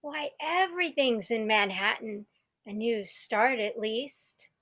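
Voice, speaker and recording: A wistful, resolute young small-town woman from a radio play, played by a woman. Her voice sits fairly high and moves slowly and deliberately. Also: animated, a bit bright, full character voice. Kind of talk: community theatre radio play acting. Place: home, over video call.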